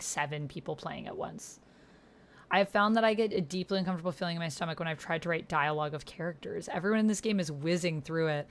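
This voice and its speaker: A woman's voice: fairly low pitch, clean tone. A woman chatting casually as she plays a text roleplaying game, commenting and reading typed chat aloud. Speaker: player in a text-based online roleplaying game. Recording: steady background noise with keyboard typing. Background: computer keyboard typing.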